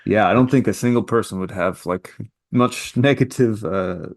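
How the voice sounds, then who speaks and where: A man from the Toronto area, a cartoonist guest, chatting calmly and easily on a call. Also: fairly low pitch, normal speed. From Toronto area, Canada. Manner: calm, easygoing, laughs often. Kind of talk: casual interview chat. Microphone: video call.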